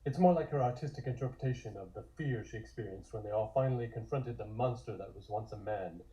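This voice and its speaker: Mellow man narrating a script. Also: on the low side, fast, dull audio. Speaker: narrator reading scene descriptions at a table read.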